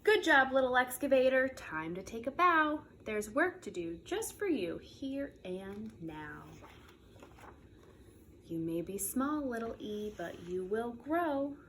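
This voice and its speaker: A woman preschool teacher reading a picture book aloud in a playful, expressive way, drawing out the sound words. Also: a little high, a little hiss or hum. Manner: animated, playful, drawn-out sound words and repetitions.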